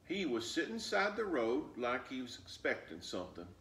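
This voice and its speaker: A man doing an expressive storybook read-aloud for young children, pausing to point things out. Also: mid-range voice, gravelly. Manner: animated, pauses to point things out. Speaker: storybook reader. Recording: roomy echo, distant mic.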